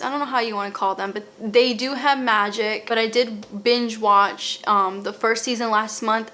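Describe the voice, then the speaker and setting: Bright-voiced Boston woman chatting casually. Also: a little high. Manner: chatty, rambling with fillers and restarts. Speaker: lifestyle vlogger. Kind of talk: casual show recommendations.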